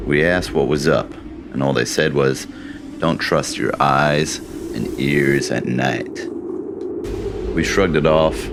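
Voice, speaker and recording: A man playing a gruff, war-weary old soldier, telling his story in folksy, plain-spoken scripted narration. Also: extremely low pitch, background noise. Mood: grim, haunted. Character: gruff, war-weary old male soldier recounting eerie wartime encounters. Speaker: voice actor. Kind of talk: scripted narration, film monologue voice reel.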